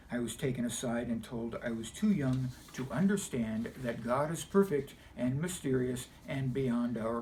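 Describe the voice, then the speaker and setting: A middle-aged man from Los Angeles with an American accent, delivering a scripted personal monologue in deliberate, emphatic, short measured phrases. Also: mid-range voice, dark tone, slight background noise. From Los Angeles, United States. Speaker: video essayist telling personal views.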